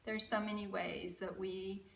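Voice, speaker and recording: Children's storyteller, female, bright voice and deliberate pace, recorded at a distance. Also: medium pitch, creaky, a little hiss or hum. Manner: expressive, asks the young viewers questions. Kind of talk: animated read-aloud for children. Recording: roomy, from a distant mic.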